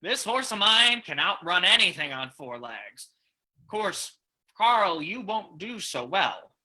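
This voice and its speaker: An actor playing a menacing, smug saloon keeper in an old-time radio drama, with a folksy, mock-friendly taunting manner. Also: male voice, high-pitched, very animated, a little slow, bright, forceful tone, exaggerated voice.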